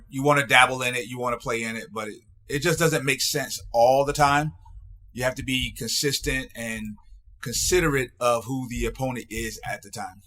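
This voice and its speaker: A man speaking as a coach in a casual phone chat, advising in a halting way with repeated words. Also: medium pitch, pushed and bright. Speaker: coach, interviewer. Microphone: phone call.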